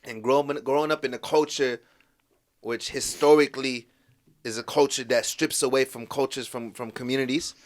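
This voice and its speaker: A man's voice: mid-range voice, moderate pace. A young man raised in the United States, a podcast guest telling rambling personal stories full of fillers. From Morocco, raised in the United States. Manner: rambling storytelling, heavy fillers, lively voices for quoted people. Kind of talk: casual podcast conversation.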